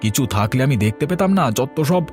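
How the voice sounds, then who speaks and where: A man's voice: medium pitch, lively intonation, fast talker, a little mellow, soft background music, natural voice. A man playing a bossy, scornful village man in a cartoon, scolding and dismissive. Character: bossy, scornful, disbelieving village elder brother figure. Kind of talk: cartoon story acting.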